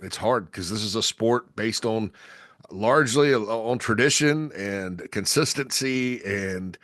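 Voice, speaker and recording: A low-pitched man with a drawl talks his way through a solo podcast, stuffy from a cold. He rambles, restarting sentences and leaning on fillers. The sound is dry and close, with no room around it. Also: slow pace, fairly bright tone, no echo. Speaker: podcast host. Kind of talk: casual solo podcast talk.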